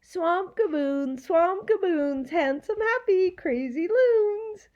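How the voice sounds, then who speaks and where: A gleeful, nasty swamp creature from a children's storybook, jeers in a very high, shrill whine. The taunts are stretched into a slow, mocking sing-song and pushed out loud. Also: female voice, big pitch swings, creaky, dull audio, heavily acted. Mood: jeering chant. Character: taunting shaggy green swamp monsters, gleeful, nasty bullies.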